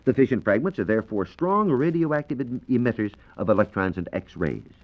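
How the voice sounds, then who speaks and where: A man's voice: mid-range voice, sing-song, moderate pace. An American man working as a science reporter, explaining things precisely and didactically in the manner of 1940s radio. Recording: muffled vintage 1940s radio recording.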